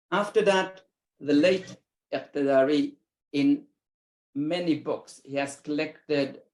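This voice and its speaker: A man with an Iranian accent presents research in English, a native Lari speaker explaining his field in steady, unhurried phrases. His voice sits in the middle range for a man. The sound carries a roomy echo, as on an online conference call. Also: mid-range voice. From Iran. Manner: explanatory, steady lecturing with pauses between phrases. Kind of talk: academic conference talk. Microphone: video call.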